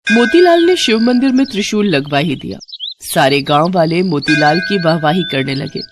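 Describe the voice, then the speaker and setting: A woman's voice: on the low side, animated, moderate pace, over music. A woman narrator giving scripted narration of a cartoon moral story.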